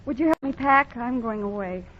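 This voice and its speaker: A woman's voice: on the high side, moderate pace, slight background noise, lightly acted. An actress playing a spirited young mother. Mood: worried. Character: spirited young woman guarding her baby. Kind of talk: 1940s radio acting. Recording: dull old radio broadcast.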